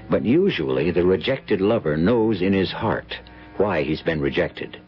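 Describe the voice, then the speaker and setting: Deep, portentous male radio host. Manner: portentous, theatrical. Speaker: radio drama host and narrator. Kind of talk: dramatic scripted old-time radio narration. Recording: muffled lo-fi old radio, music behind.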